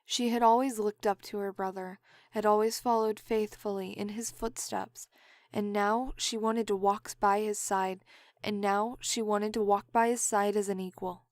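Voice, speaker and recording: A woman's voice: mid-range voice, level tone, normal speed, dry recording, clean studio sound. A woman reading scripted third-person story narration for an audio drama.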